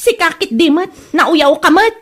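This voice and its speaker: A woman's voice: very high-pitched, lively intonation, measured pace, very noisy. A woman with an Ilocano Filipino accent playing an exasperated young daughter in a comedy drama, questioning and indignant. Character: exasperated young daughter teased about boyfriend.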